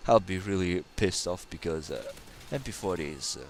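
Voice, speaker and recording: A man's voice: deep voice, lively intonation, moderate pace, a little hiss or hum. A man from Kazakhstan, a native Russian speaker with a slight Russian accent, giving rambling, hesitant gameplay commentary full of fillers. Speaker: gaming channel host.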